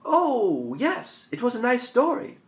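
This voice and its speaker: A man's voice: very high voice, huge pitch swings, slow, pitched above the performer's own voice, exaggerated voice. A man voicing a naive, curious boy student in an audiobook story, hesitant and full of questions and exclamations. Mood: sheepish. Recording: dull lo-fi audio.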